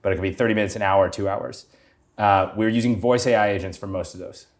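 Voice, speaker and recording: A man who is a podcast guest, eagerly explaining his business in quick bursts. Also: lowish voice, fairly even intonation. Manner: quick, eager explanations with many short agreements. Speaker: podcast guest, company founder.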